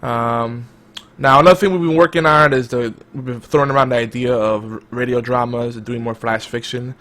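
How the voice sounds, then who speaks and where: A man hosting a casual podcast chat, talking in a hyped-up, loud, energetic way. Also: medium pitch, brisk. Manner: energetic, self-described loud and hype. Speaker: podcast host.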